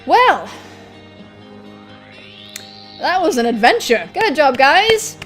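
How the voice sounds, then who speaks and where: A woman hosting a gaming video, reading a visual novel aloud and adding casual, irreverent, expressive commentary. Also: high voice, a little slow. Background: game music.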